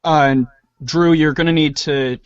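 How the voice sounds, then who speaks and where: Man, show host, casual delivery, fairly high pitch, dull lo-fi audio. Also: steady tone, normal speed, smooth. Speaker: host of a reader's theater show. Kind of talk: casual hosting. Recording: dry, dull lo-fi recording.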